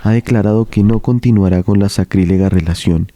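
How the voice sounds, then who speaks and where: Man as a very mellow, solemn church record. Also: fairly low pitch, a little fast, natural voice. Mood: solemn, stern. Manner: formal, solemn. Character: solemn, judgmental male voice of a church case file. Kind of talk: formal document reading in audio drama.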